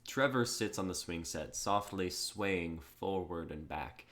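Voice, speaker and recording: A man's voice: deep voice, measured pace, a bit bright. A man chatting casually and reading script narration on an online stream. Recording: clearly noisy mic with keyboard clicks.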